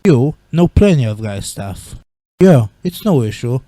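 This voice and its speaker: A man voicing a big, gentle goat-monster father in a comic dub, giving slow, reassuring, weary but hopeful fatherly advice. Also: mid-range voice, very animated, no echo, pitched below the performer's own voice. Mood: cheerful.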